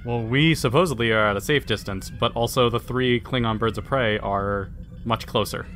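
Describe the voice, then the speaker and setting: A man's voice: medium pitch, normal speed, fairly bright tone. A man playing a tabletop game and talking casually in a blunt, matter-of-fact way. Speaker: player as tactical officer. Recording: faint room noise.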